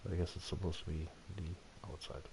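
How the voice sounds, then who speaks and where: A man's voice: deep voice, little pitch movement, a little fast, gravelly. A man hosting a video game livestream, chatting casually and thinking aloud in a hesitant way. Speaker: live streamer hosting a video game broadcast. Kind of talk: casual gaming livestream chat. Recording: faint hiss behind the voice.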